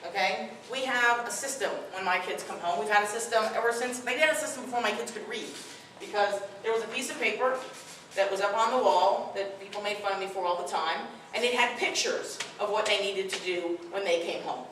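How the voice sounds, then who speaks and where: A woman's voice: mid-range voice, brisk, a bit bright, recorded from a distance, a little hiss or hum. Firm woman speaking in echoey room. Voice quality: strong voice. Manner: firm, direct, conversational. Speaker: parenting workshop speaker. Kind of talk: addressing a live audience. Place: room with audience.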